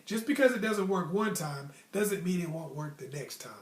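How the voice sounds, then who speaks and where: High-pitched American man telling stories, echoey room. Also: normal speed. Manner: animated, emphatic, slangy. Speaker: storytelling host. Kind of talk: casual personal storytelling with motivational advice. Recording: roomy, echoey sound.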